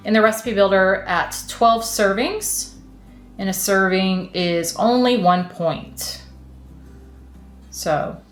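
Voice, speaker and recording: A woman talks through a home baking recipe, one easy step at a time, in a relaxed, chatty way. Her voice sits in the middle of the range. The kitchen gives it an echoey ring, with soft music under it. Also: mid-range voice. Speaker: cooking channel host. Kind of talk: casual baking demonstration.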